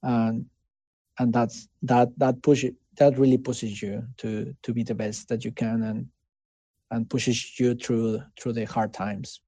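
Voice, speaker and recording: A man with a Mexican accent and Spanish as his first language, answering casually in an interview in an earnest, halting way, often checking for agreement. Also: medium pitch, level tone, dark tone, dry recording. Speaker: interviewee, game developer.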